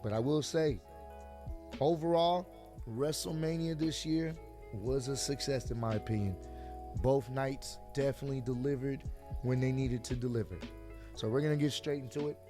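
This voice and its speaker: A man reviews a wrestling show in a loose, chatty way, calling out to his viewers. His voice is hoarse and a bit sore. He speaks at an ordinary middle pitch. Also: normal speed, dark tone, faint music behind. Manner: chatty, addresses viewers directly. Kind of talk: casual video review.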